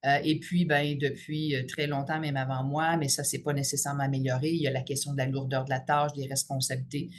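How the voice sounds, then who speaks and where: A woman in her fifties with a Franco-Ontarian accent, a teachers' union president giving a podcast interview. She speaks in a measured way, filling her pauses with "euh" and "là". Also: very deep voice, level tone, a little hiss or hum. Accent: Franco-Ontarian French. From Ottawa region, Ontario, Canada. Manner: measured, frequent "euh" and "là" fillers.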